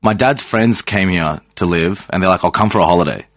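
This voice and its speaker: Laid-back low-voiced man in his twenties. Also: a little fast, no echo, studio quality. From Sri Lanka. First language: Sinhalese. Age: 20s. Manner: laid-back, laughs often, trails off mid-thought. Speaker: podcast guest. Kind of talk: casual chat. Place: podcast studio.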